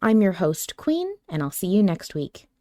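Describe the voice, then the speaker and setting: Female podcast host, soft dark timbre, scripted outro, very dry sound. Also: mid-range voice, very animated, normal speed, no echo.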